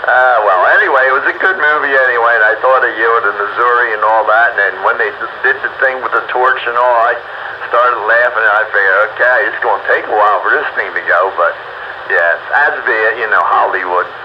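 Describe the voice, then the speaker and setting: A man with an average-pitched voice rambles in a folksy, casual way over the radio. The sound is muffled, with clear noise behind him. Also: brisk. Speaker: amateur radio operator. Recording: dull radio transmission, clear background noise.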